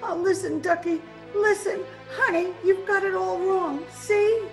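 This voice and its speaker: An actress playing a frightened, streetwise young gangster's moll in an old-time radio play, slangy and pleading. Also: female voice, extremely high pitch, fairly even intonation, measured pace, a little mellow, faint music behind. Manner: breathless pleading, slangy "gee" and "ya". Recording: home video-call recording.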